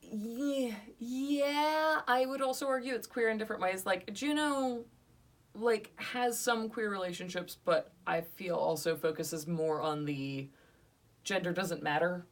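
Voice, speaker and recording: A young woman co-reviewing a podcast in casual chat, often pausing to search for words. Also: mid-range voice, recorded from a distance.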